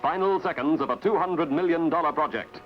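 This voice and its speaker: A man narrates a newsreel in a formal, measured announcer's manner. His voice sits a little high. The sound is somewhat dull, with clear music playing behind him. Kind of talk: scripted newsreel documentary narration.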